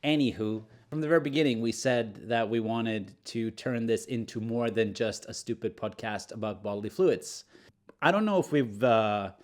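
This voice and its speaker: A man's voice: medium pitch, normal speed, darkish tone. A man co-hosting a podcast in casual chat, joking and playful, with frequent fillers. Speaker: podcast co-host.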